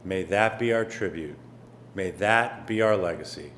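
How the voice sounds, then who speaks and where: Low-voiced man giving a formal speech. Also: fairly bright tone, a little hiss or hum. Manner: rhetorical, measured, repeating phrases. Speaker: official speaker.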